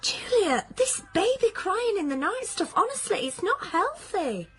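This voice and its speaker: An actress playing a blunt, sceptical, practical friend, frank and questioning, in naturalistic radio drama. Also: female voice, very high voice, big pitch swings, unhurried, fairly bright tone, faint music behind, exaggerated voice. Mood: exasperated.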